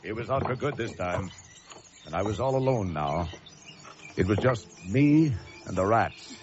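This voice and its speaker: A man's voice: lowish voice, expressive, unhurried, noisy background. An actor playing a tense, desperate lighthouse keeper who narrates his ordeal in dramatic, urgent old-time radio thriller style. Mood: exhausted dread. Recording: dull vintage radio recording, loud music.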